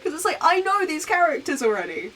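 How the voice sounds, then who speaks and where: A woman's voice: extremely high pitch, sing-song, fast talker, a bit bright. A woman game streamer chatting casually over gameplay, rambling in a giggly way. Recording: slightly distant mic, music behind. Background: game music.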